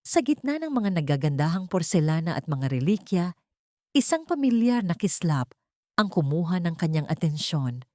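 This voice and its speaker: Lady reading a drama audiobook, kinda low voice, really dry close recording. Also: sing-song, normal speed, darkish tone, dry recording. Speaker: audiobook narrator. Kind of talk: dramatic scripted story narration.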